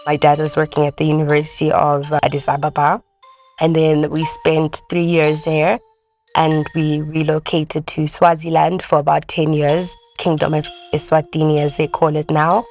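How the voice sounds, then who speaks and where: A woman from Malawi speaks in a low, steady voice with little rise and fall. She tells her story calmly and reflectively. Faint music sits behind her. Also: moderate pace. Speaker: interviewee. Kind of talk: reflective personal account.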